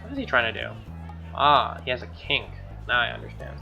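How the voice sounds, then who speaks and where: A man's voice: mid-range voice, a little fast, vocal fry. A man reading a visual novel aloud as a let's play host, with wry, joking casual asides. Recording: dull lo-fi sound, music behind.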